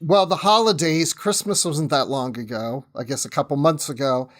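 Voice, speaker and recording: A man's voice: on the high side, normal speed, fairly bright tone. A talkative man chatting casually as a podcast guest, often breaking off and restarting his own sentences. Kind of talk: casual chat.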